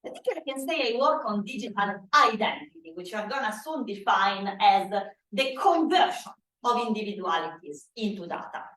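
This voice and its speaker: A woman with an Italian accent giving a conference keynote in a loud, animated way. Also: medium pitch, normal speed, recorded from a distance. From Italy. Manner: animated, loud, self-deprecating asides. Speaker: keynote speaker. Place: conference hall. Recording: echoey hall from afar.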